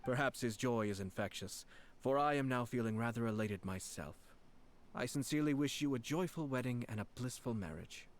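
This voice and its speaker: A man playing a courteous, formal young nobleman and clan head in a fantasy video game, speaking in a composed, measured way. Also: mid-range voice, animated, natural voice. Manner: formal, measured, politely calculating.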